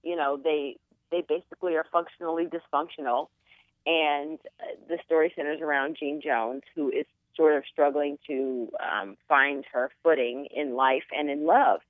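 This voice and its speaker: Bubbly actress on a phone line. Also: female voice, low-pitched, fairly even intonation, moderate pace, dry recording. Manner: bubbly, laughing, chatty. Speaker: guest actress. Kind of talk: radio interview chat.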